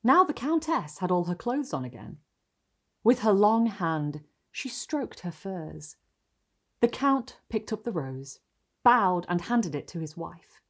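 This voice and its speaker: Woman storyteller with a very animated, theatrical delivery. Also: medium pitch. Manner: expressive, theatrical, deliberate phrasing. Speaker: host and reader of a literary video series. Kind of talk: dramatic storytelling, scripted narration.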